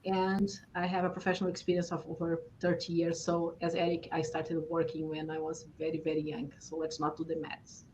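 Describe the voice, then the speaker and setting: Even-toned Brazilian-accented woman, podcast co-host. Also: deep voice, brisk, hardly any pauses, slight background noise, low-quality recording. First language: Portuguese. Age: middle-aged or older. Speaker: podcast co-host introducing herself. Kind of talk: conversational introduction.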